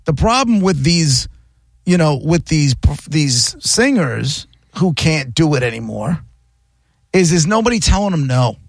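A man's voice: a little high, very animated, unhurried, creaky, no room sound. A middle-aged man co-hosting a radio talk show, arguing his point and talking over his co-host.

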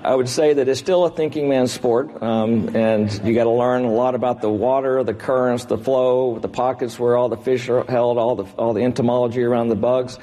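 An American man in his sixties from Texas answering interview questions in a halting, measured way, as a business executive. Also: mid-range voice, steady tone, dark tone, long reverb tail, slight background noise, rough recording. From Texas, United States. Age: 60s. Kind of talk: unscripted on-stage interview answers. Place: large indoor event room before an audience.

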